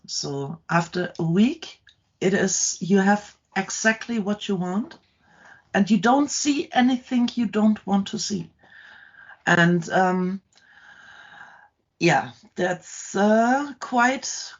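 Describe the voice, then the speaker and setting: Slow, halting middle-aged woman. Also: medium pitch, lo-fi sound. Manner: halting, many ums and restarts. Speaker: guest, content creator. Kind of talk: casual interview chat.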